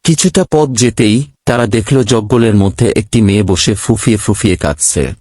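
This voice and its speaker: A man's voice: on the low side, normal speed, a little mellow, no room sound, clean studio sound. A man narrating a scripted ghost story as its storyteller.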